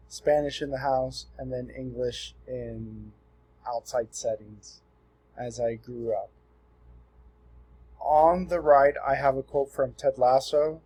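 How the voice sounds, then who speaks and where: A young man in his twenties from Southern California talks through a self-introduction in an easygoing, sincere way. His voice is mellow and slightly husky, and he fills his pauses with ums. The pitch sits at an ordinary middle level for a man. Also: low-quality recording. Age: 20s. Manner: relaxed and earnest, with frequent ums and restarts. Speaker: student presenting himself. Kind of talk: casual self-introduction for a class assignment.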